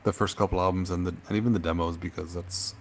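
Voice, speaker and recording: A middle-aged man with a very low, deep voice, chatting on a music podcast. He weighs his words carefully and fills his pauses with ums. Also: steady tone, a little hiss or hum. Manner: thoughtful, measured, hesitant with frequent ums. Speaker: podcast co-host. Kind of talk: casual music podcast chat.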